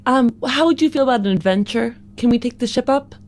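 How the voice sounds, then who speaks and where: A woman's voice: fairly high pitch, animated, a little fast, darkish tone, voice pushed up. Woman playing a guarded, earnest starship commander. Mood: coaxing.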